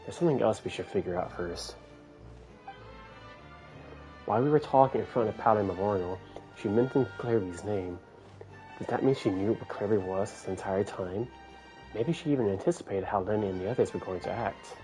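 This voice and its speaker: A man giving casual play-along commentary as a game streamer, halting as he thinks aloud. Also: on the low side, dark tone, faint music behind, low-quality recording.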